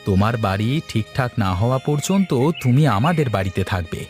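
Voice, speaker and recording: Lively, kind young village lad, voiced by a man. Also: mid-range voice, fairly quick, faint music behind, lightly acted. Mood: curious, kind. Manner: polite, inquisitive, expressive. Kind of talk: Bengali moral-story cartoon dubbing.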